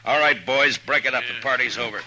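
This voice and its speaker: A man's voice: medium pitch, a little fast, pushed and bright, highs cut off, slight background noise. A man acting a stern, dry American frontier marshal in a 1950s radio western, with a curt, commanding way of talking. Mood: hard, commanding. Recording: 1950s radio recording with music.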